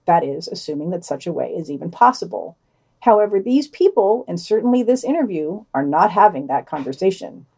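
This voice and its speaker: A woman's voice: on the low side, big pitch swings, a little fast, a little mellow, slightly rough. A woman reading a scripted podcast news digest, adding wry, sardonic commentary in a dry manner.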